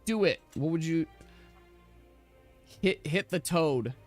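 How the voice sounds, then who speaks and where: A man's voice: fairly high pitch, animated, slow, a little mellow. A man narrating casually as game master on a livestream, close on the mic. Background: music.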